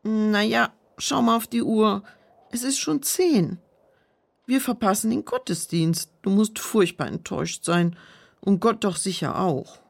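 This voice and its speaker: A woman's voice: mid-range voice, measured pace, gravelly. A woman playing a worried, earnest, pious housekeeper who is easily flustered, in a children's audio drama. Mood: downcast, worried.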